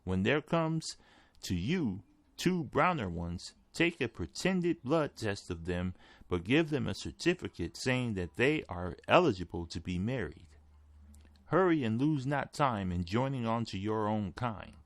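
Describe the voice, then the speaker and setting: A man's voice: lowish voice, sing-song, unhurried, dry recording. A man reading a written article aloud as scripted narration. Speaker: article reader.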